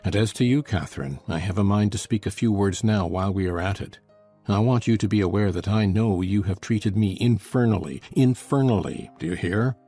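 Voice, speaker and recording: A low, dark-voiced brooding man played by an actor in a dramatized classic novel. He is bitter and menacing, growling cutting, scornful lines with music underneath. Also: animated, fairly quick. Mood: vengeful.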